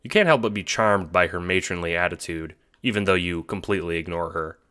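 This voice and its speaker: A man's voice: low-pitched, fairly quick, noisy background. A man narrating a scripted second-person story for an audio drama.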